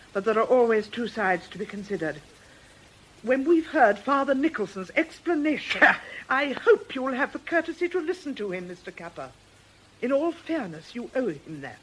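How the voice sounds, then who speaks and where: A composed religious sister played by an actress in a radio drama, speaking in a measured, firm woman's voice. She reproves gently and on principle, over faint background noise. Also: fairly high pitch, natural voice, poor audio.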